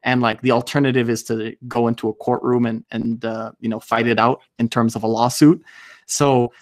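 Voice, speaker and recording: Young guy hosting a podcast from home, mid pitch, thoughtful, lots of restarts and fillers, studio mic. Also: level tone, moderate pace. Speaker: podcast host. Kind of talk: casual video-call interview. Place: small room at home. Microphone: studio mic with headphones.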